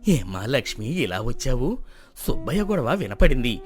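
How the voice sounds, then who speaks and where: A man voicing a kindly, worried old village grandfather, measured and cautioning, in a folk tale. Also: medium pitch, very animated, close to an everyday voice. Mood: concerned. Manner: measured, cautioning, world-weary. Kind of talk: acted folk-tale dialogue.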